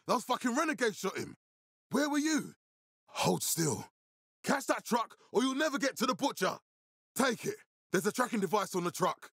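An actor playing an authoritative, blunt militia leader with a gravelly voice in a zombie apocalypse video game. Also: male voice, high voice, fairly bright tone, no room sound, studio quality, exaggerated voice. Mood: furious, shouting orders. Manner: authoritative, urgent, giving blunt orders. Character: commanding, hard-swearing male militia leader in a zombie apocalypse. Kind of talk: video game dramatic acting.

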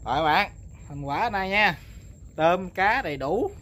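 A young man with a Southern Vietnamese accent, chatting excitedly to viewers as he fishes from a riverside spot at night and repeats words when a fish bites. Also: high-pitched, measured pace. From Mekong Delta, Vietnam. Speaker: fishing vlogger. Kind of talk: casual fishing commentary to viewers.

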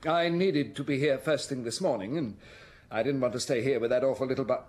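A mid-pitched male voice , an actor's, plays a profligate aristocrat in a radio drama. He is rueful and self-absorbed, speaking with hesitation. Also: fairly quick, a little mellow, natural voice. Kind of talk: BBC radio drama acting.

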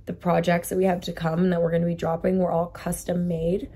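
A woman in her twenties with a hoarse voice that she has lost, chatting casually in her bedroom and filming herself on a phone held at arm's length, saying "like" constantly. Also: on the low side, level tone, fairly quick, mellow, slight background noise. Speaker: vlogger. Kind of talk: casual vlog chat. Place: bedroom, indoors. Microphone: phone camera at arm's length.

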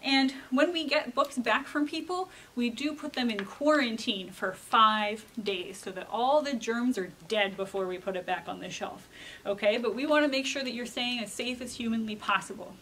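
A woman with a fairly high voice speaks casually to children as a librarian would. She explains through simple questions, answers them herself and keeps checking in. Faint ambient room noise sits behind her. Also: normal speed, poor audio. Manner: animated question-and-answer teaching, frequent check-in tags.

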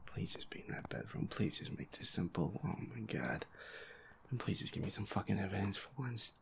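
A man muttering to himself in a breathy, whispery voice as he plays a game, repeating himself and swearing. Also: on the low side, lively intonation, a little fast, noisy background. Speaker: gamer playing a horror game. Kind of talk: hushed solo gameplay chatter, thinking aloud.

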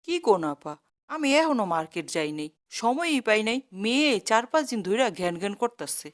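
A woman's voice: a little high, big pitch swings, a little fast. A woman with a Bangladeshi accent voicing an overworked, scolding middle-aged mother in a cartoon comedy. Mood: weary complaining. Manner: nagging, colloquial.

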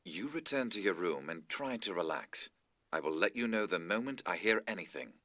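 Low calm schemer adviser in a game, courtly, soothing words, lively intonation. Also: male voice, moderate pace, dry recording, studio quality. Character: smooth-talking, scheming male adviser to a lord. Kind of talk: scripted video game voice acting.

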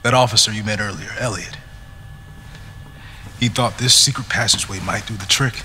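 An actor playing a wounded, weary but commanding middle-aged American police lieutenant in a video game, strained with pain. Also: male voice, on the low side, moderate pace, sharp tone, a little hiss or hum. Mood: weary, reassuring. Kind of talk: dramatic video game acting.

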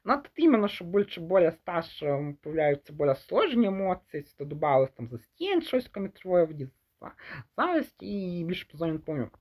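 A man's voice: sits high, big pitch swings, darkish tone. Young male reviewer, informal and unscripted, hesitant delivery that trails off and rephrases. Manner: hesitant, halting, trails off and rephrases mid-thought; mixes Ukrainian and Russian words. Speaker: film reviewer. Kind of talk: casual unscripted movie review.

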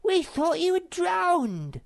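Sniff, a shrill fretful little creature, as a woman puts him on. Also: sing-song, slow pace, gravelly, exaggerated voice. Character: small timid fussy male creature, bossy and whiny.

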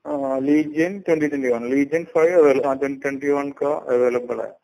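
A man working as a store salesperson, answering a customer on a recorded phone call in a hesitant, halting way. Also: medium pitch, steady tone, mellow.

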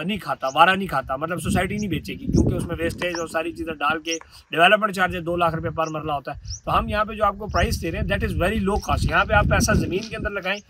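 A man in his thirties with a Pakistani Urdu accent delivers a lively sales walkthrough, pointing out features as he goes. His voice sits slightly high. He is recorded out of doors on a rooftop, with heavy outdoor noise behind him. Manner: upbeat sales pitch, pointing out sights while talking. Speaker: property consultant. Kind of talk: promotional property walkthrough. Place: outdoors on a rooftop at a rural farm estate. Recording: loud outdoor wind and ambient noise.

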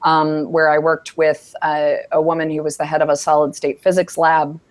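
A woman's voice: deep voice, level tone, moderate pace. A woman in her thirties from New York, answering on a panel over a video call from an office, casual and reflective with many fillers. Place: office with bookshelves. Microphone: earbud headset on a video call.

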